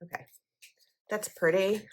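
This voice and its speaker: A woman's voice: mid-range voice, steady tone, a little slow, a little mellow. A young woman vlogger chatting casually as she decorates a planner, her voice a little froggy this morning, rambling with likes and ums.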